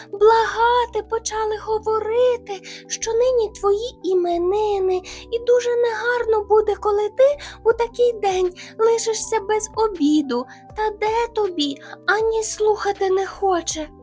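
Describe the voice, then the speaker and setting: A woman's voice: very high-pitched, a little mellow, pitched above the performer's own voice. A woman voicing a small, timid male hare who pleads politely and tearfully.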